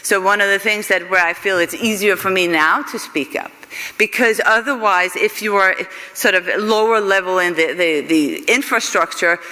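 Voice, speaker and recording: A woman from Iceland, answering audience questions at a conference in a halting way, often repeating and restarting words. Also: mid-range voice, sharp tone, long reverb tail. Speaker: conference speaker.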